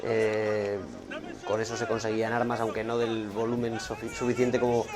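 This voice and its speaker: A man's voice: lowish voice, little pitch movement, fairly quick, a crowd in the background. A man giving a matter-of-fact documentary interview.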